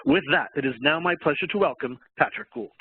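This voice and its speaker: Man, quick scripted moderator intro, fairly high voice, tinny phone-line sound. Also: husky, no room sound. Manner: formal, read from script. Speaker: webcast moderator. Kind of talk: scripted announcement.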